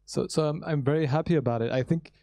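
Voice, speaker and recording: A man's voice: fairly high pitch, fast talker, warm tone, clean studio sound. A young man speaking into a handheld mic as a panelist in a large hall, giving an earnest technical explanation with frequent fillers. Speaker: panelist, startup co-founder. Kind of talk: conference panel answer.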